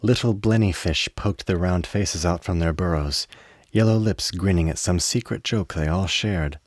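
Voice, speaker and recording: A man narrating a radio play in a measured storytelling manner. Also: low voice, dry recording, studio quality.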